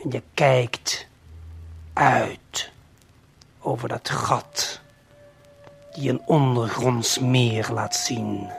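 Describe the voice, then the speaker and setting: Slow-paced man telling a suspenseful story over music. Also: mid-range voice, fairly even intonation, gravelly. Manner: deliberate, suspenseful, addressing the listener directly. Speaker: narrator. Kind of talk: slow second-person storytelling for an audio play. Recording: late 1970s vinyl LP production. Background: prominent music.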